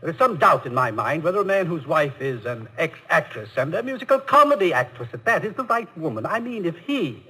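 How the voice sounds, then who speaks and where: A stuffy college governor in old-time radio comedy. He is prim and disapproving, hedging his way along in a bright, husky voice with lively swings. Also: on the high side, a little fast, hardly any pauses, heavily acted, low-quality recording. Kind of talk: 1950s radio comedy acting. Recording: vintage 1950 radio broadcast.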